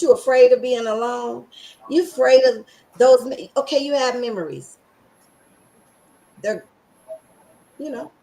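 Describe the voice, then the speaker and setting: A woman's voice: a little high, creaky, mellow. Scratchy-voiced sassy woman host. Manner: sassy, emphatic, preachy with slang. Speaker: podcast host. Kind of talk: casual motivational chat.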